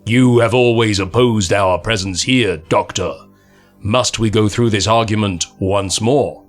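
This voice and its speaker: A man's voice: lowish voice, unhurried, soft background music, lightly acted. A man reading aloud and voicing an arrogant male god-king with a haughty, imperious manner. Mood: scornful.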